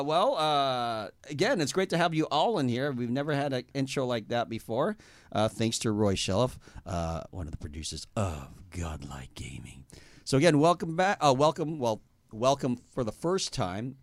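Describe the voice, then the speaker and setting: A man's voice: medium pitch, big pitch swings, normal speed, no room sound. A man hosting a casual radio interview, chatty, with many restarts and laughs. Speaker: host.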